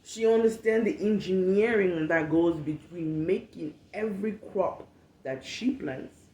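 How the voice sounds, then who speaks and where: A woman's voice: lowish voice, a little slow, dark tone, echoey room, rough recording. A woman in her late twenties from Cameroon with a Cameroonian accent, hosting a candid solo podcast, frank and emphatic with repetition. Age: late 20s. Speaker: podcast host.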